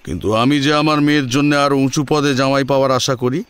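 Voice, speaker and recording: A man's voice: mid-range voice, fairly even intonation, brisk, clear, resonant tone, no echo, close to an everyday voice. Man acting a proud, exacting father, dry sound. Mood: proud, questioning. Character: proud, exacting father questioning his daughter's suitors.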